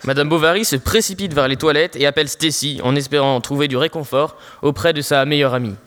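A man's voice: fairly high pitch, fast talker, a bit bright, lightly acted. A teenage boy playing a wry, mock-solemn narrator of a comic audio story.

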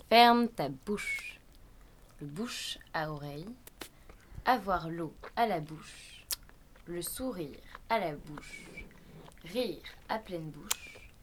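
A woman reciting short phrases deliberately and separately, as narrator of an artistic radio sound piece. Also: fairly low pitch, a little hiss or hum. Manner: deliberate, detached phrases, at times whispered.

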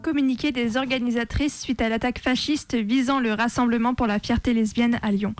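A woman's voice: on the high side, steady tone, a little fast, faint music behind. A woman reading a scripted activist statement as a radio presenter.

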